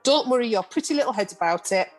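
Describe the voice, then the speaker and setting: A woman's voice: a little high, expressive, fast, faint music behind. A woman playing a breezy, bawdy middle-aged journalist in an amateur radio play, recorded over a video call. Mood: brash.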